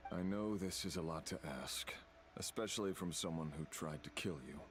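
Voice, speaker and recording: An actor playing a brooding, remorseful fallen villain in a video game, speaking slowly and solemnly with halting phrases. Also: male voice, low-pitched, a bit bright, a little hiss or hum. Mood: pleading. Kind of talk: dramatic video game dialogue. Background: music.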